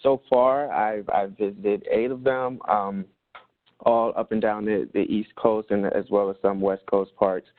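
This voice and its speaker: Deep-voiced man on a dry call line. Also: steady tone, a little slow, dry recording. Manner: earnest, stumbling repeats. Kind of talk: webinar self-introduction. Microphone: conference call line. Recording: dry phone-line webinar audio.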